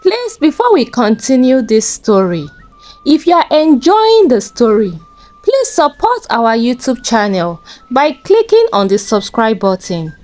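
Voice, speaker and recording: A woman narrating a scripted folktale in a dramatic, expressive storytelling manner. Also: on the high side, a little slow.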